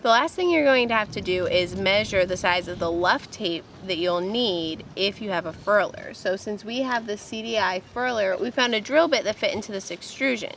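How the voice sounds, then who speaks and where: A woman's voice: mid-range voice, fairly bright tone. A young woman cheerfully explaining sail measurements on a boat outdoors in a boatyard, recorded on a handheld camera. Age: young adult. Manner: cheerful, clear instructions. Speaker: sailing vlog co-host. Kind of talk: casual how-to vlog. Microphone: handheld camera close up. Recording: faint outdoor noise.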